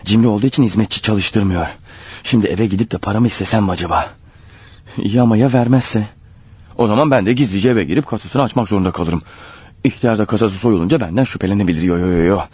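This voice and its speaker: A man's voice: on the low side, fast, a little mellow, husky, dry recording. An actor in a radio play voicing a desperate, nervous man turned amateur burglar, hesitantly talking to himself. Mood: resentful, scheming. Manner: hesitant, muttering to himself, rehearsing and exclaiming. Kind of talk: radio play monologue, thinking aloud. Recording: dull lo-fi radio drama over music.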